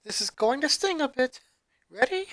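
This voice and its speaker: A woman's voice: high voice, animated, moderate pace, fairly bright tone, no room sound, voice pushed up. A woman voicing a caring, worried mother in a story reading. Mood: soothing. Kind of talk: fan fiction character voice.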